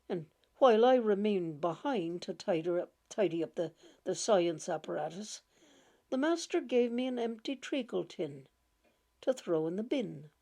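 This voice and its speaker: A woman reading a short story aloud in a gentle, halting way, repeating words and laughing softly as she goes. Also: medium pitch, creaky. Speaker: audiobook reader.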